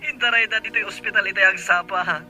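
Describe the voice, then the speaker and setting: A man's voice: high voice, fast talker, sharp tone, soft background music, rough recording. A man playing a grieving younger brother phoning with sad news in an Ilocano radio drama, with an Ilocano accent. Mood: sorrowful.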